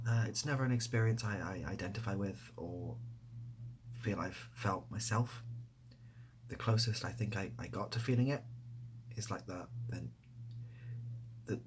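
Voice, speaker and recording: A man speaking softly in a casual ASMR style, breathy and often dropping to a whisper, rambling hesitantly with a self-deprecating manner. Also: on the low side, background noise. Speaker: ASMR video creator and host. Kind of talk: soft-spoken ASMR, casual question-and-answer to camera.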